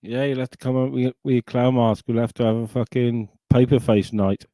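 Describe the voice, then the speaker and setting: A man's voice: medium pitch, steady tone, brisk, warm tone, dry recording. A middle-aged man from Sussex with an English accent, chatting casually and swearing on a live stream into a desk microphone. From England. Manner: sweary, rambling banter. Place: home, live stream call.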